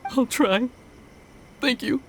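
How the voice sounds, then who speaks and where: A man's voice: very high-pitched, takes it slowly, slight background noise. An actor playing a gloomy, awkward young man in an anime-style game, hesitant and resigned. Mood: dejected.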